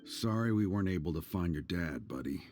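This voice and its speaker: A steady guard from a video game who reports his findings plainly. His voice sits very low. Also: brisk, soft background music. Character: steady male city guard.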